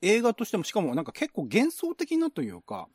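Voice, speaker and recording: A man's voice: sits high, huge pitch swings, a little fast, a little mellow, husky, no echo, clean studio sound. A man hosting a casual, explanatory discussion in polite speech, often checking in with his listener. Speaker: host and reader of a radio-drama reading show.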